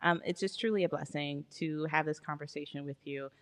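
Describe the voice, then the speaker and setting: A woman hosting a podcast, asking her guest questions in a warm, encouraging way. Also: low-pitched, a little fast. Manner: warm, encouraging, asks questions with gentle restarts. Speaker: podcast host. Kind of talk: interviewing a guest.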